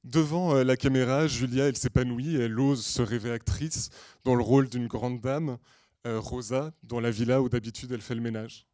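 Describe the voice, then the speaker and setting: A man with a mid-pitched, dry-sounding voice delivers a prepared story pitch. He is from Portugal and speaks in earnest, deliberate phrases. Hesitant pauses break up his storytelling. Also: steady tone, dark tone, dry recording. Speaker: screenwriter and director pitching a feature film. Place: screening room at a film school.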